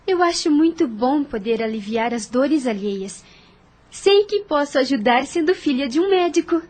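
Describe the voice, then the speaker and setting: A woman's voice: high voice, animated, moderate pace, darkish tone. An actress playing a sweet, earnest young daughter who pleads with her father in an audio drama. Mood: pleading.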